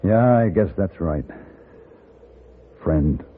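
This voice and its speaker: An actor playing a steady, loyal gunfighter hero in a 1950s radio Western, with a grave, measured delivery. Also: male voice, low voice, phone-quality sound, slight background noise, exaggerated voice. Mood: somber. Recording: band-limited 1950s radio recording.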